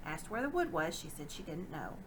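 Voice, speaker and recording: A woman reading a children's novel aloud in a dramatic, expressive narrating style. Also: low-pitched, a little fast, background noise. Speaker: storybook reader.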